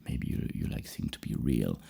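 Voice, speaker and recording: A soft-spoken ASMR host playing a psychologist, musing in a halting way and repeating words.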